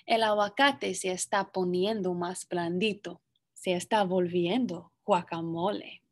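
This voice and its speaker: A young woman reading a picture book aloud to children, expressive and deliberate in her phrasing. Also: on the low side. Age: young adult. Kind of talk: children's story read-aloud with brief asides. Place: small indoor classroom.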